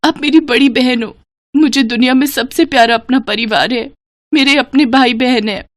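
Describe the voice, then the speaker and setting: A woman's voice: high voice, normal speed, dry recording, studio quality, pitched above the performer's own voice, lightly acted. A woman voicing tender-hearted, caring sisters in a family story, with an earnest, emotional delivery. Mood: tearful, tender.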